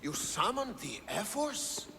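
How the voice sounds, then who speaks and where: High gravelly spartan king, impatient, game cutscene in a big echoing hall. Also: very animated, slow pace, noisy background, exaggerated voice. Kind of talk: video game dramatic acting.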